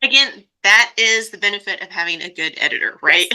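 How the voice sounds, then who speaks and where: Woman, extremely bright forward voice, medium pitch, podcast host, conversational questions. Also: animated, fairly quick, smooth, rough recording. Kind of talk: conversational interviewing.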